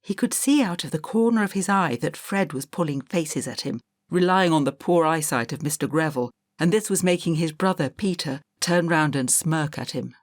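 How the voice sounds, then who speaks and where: Calm, low-voiced woman narrating. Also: dry recording, clean studio sound. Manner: calm, measured storytelling. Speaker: audiobook narrator. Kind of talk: scripted audiobook narration.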